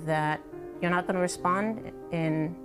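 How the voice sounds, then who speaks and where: Low-voiced woman speaking over music. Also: a little slow. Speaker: documentary interviewee. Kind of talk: documentary interview commentary on environmental racism. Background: instrumental music.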